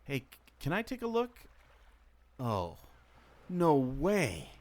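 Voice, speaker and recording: A man's voice: fairly high pitch, huge pitch swings, takes it slowly, exaggerated voice. A man playing a resourceful, know-it-all former mariner who explains things emphatically in a radio adventure. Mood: intrigued, secretive. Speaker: podcast host acting in audio drama. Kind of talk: amateur radio adventure acting. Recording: noticeable ambient background noise.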